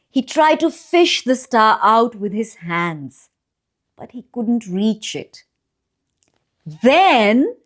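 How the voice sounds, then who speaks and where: A woman reading a children's bedtime story aloud, with expressive, deliberate phrasing and drawn-out pauses for young listeners. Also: high-pitched. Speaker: children's storyteller and channel host.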